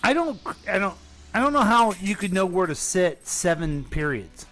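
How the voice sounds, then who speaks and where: A man hosting a radio comedy show from the studio, his pitch swinging widely as he talks. He prods his co-host with questions and drops dry, sarcastic asides. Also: big pitch swings, moderate pace, a bit bright. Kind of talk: casual comedic banter.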